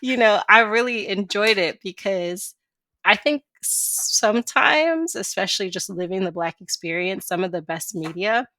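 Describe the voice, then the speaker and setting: A young adult woman with a slight Chicago accent, hosting a casual podcast chat and telling stories with lively voiced asides. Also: medium pitch, a little slow. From Chicago area. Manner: chatty, animated storytelling with voiced asides. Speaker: podcast host. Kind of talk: casual conversation.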